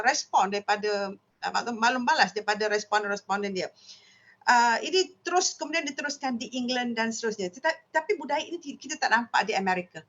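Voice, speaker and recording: A woman's voice: fairly high pitch, fairly bright tone. Lecturing woman w malaysian accent, lots of uhs and restarts, kinda muffled recording. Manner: frequent hesitations and self-corrections. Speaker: lecturer in linguistics. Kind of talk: academic lecture. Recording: dull lo-fi audio.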